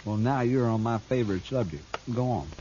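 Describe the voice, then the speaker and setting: A male actor plays a cool-headed, cocky American outlaw in a radio Western. He drawls with sly, folksy mockery, his pitch very animated over a middling register. The old recording is muffled, with faint hiss. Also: a little fast, lightly acted. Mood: sly, smug. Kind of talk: 1950s radio drama acting. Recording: dull, hissy 1950s radio recording.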